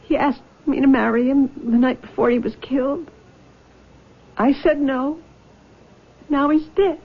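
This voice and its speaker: A woman's voice: high-pitched, a little slow. An actress playing a distraught, guilt-ridden young woman in a radio drama, plaintive, pleading and tremulous. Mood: haunted, pleading. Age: young adult. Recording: muffled old radio broadcast.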